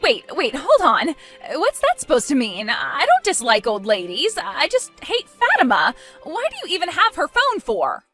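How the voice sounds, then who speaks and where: A woman's voice: high-pitched, wildly swooping pitch, moderate pace, sharp tone, faint music behind, exaggerated voice. A woman voicing a spoiled, self-centred young bride in a dramatized story, petulant and pleading. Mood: indignant.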